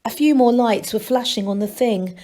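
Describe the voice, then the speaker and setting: A woman's voice: a little high, moderate pace, warm tone. Woman reading a children's story expressively. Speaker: storyteller reading aloud for a school. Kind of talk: expressive children's audiobook narration.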